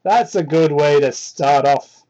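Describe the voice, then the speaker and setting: A medium-pitched voice reads fan fiction aloud in a mocking, theatrical way. The reader keeps dropping into incredulous, exasperated asides and swears freely. The sound is that of a cheap hobby microphone. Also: measured pace, mellow, muffled recording. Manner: exasperated, incredulous, foul-mouthed. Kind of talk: mocking dramatic reading with ad-libbed asides. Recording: poor-quality hobby microphone.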